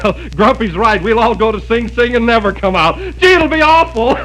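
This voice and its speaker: A man's voice: very high-pitched, moderate pace, a bit bright. A man playing a cheerful, giggling gangster in an old radio comedy. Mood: giggly. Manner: chuckles through his lines. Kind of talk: 1930s radio comedy acting. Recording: noisy old radio recording.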